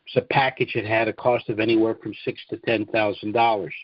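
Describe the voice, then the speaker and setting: Male American call host, measured halting monologue, dry and slightly lo-fi sound. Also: fairly low pitch, level tone, dry recording. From United States. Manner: deliberate, halting, frequent throat-clearing. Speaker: conference call host promoting trust services. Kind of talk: informal monologue on a conference call. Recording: dull, dry conference call audio.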